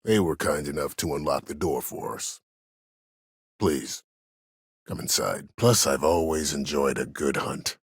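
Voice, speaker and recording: Old war admiral, game character, bottomless bass, rough husky tone, formal measured delivery, proud, cunning, quietly menacing. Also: male voice, dry recording, studio quality, heavily acted. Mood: courteous, sly. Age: older. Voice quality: husky, very deep. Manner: measured, formal, self-assured. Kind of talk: video game character dialogue.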